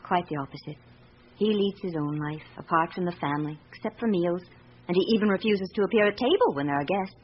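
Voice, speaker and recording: An actress playing a candid but guarded young household employee in a radio drama, measured and matter-of-fact. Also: female voice, lowish voice, natural voice. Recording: muffled, faintly noisy radio recording.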